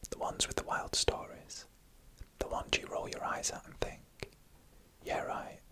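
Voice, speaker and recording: Whispered voice, bright and breathy, ASMR horror narration, scripted, hushed short phrases, pauses.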